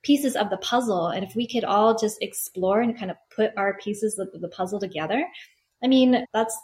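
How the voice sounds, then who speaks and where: A woman appears as a guest on a podcast, speaking as a hypnotherapist. She talks in an open, easygoing way at a mid pitch, often restarting her sentences. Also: moderate pace, darkish tone. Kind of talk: casual interview chat.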